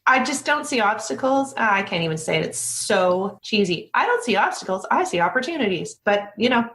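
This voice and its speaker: A woman's voice: mid-range voice, sing-song, brisk, a bit bright. A woman being interviewed, talking casually in anecdotes with a candid, informal and self-deprecating manner. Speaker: interview guest, speaker agency founder.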